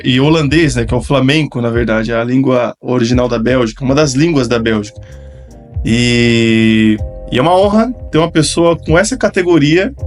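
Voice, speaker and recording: A man with a Brazilian accent, interviewing a guest conversationally as a podcast host. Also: fairly high pitch.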